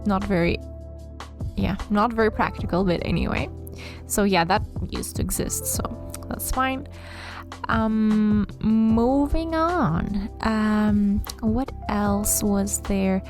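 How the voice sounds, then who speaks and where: A woman's voice: medium pitch, slow. A woman giving casual, chatty game commentary as a video host, rambling and playful. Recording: music behind the voice. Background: music.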